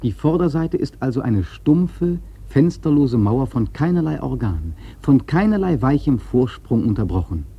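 Casting: reflective sea-novel storyteller for radio drama, mellow and husky, earnest, measured rhetoric. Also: male voice, medium pitch, sing-song, muffled recording, full character voice. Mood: earnest, explaining. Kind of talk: literary narration in a radio play.

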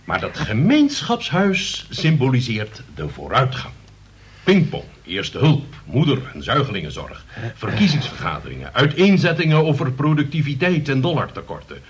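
Pompous, husky, lecturing uncle. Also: male voice, medium pitch, wildly swooping pitch, normal speed, exaggerated voice. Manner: pompous, long-winded, lecturing. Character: pompous middle-aged uncle, moralizing small-town political idealist. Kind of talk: Dutch radio play acting.

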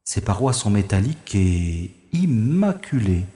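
A man playing a cautious, wry infiltrator who thinks aloud in a deliberate, dramatic monologue from an audio science-fiction adventure. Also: fairly low pitch, very animated, mellow, echoey room. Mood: curious, wary. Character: cautious, wry male infiltrator narrating his secret mission.

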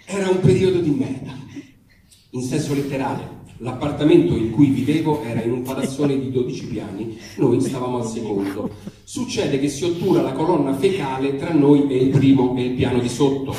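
A man's voice: medium pitch, a little fast, dark tone. A man giving a wry, comic reading of a letter, told as a story. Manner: wry storytelling, acting out dialogue. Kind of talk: comic literary reading before a microphone. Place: echoey room, picked up from afar. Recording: very echoey, distant mic, faint noise.

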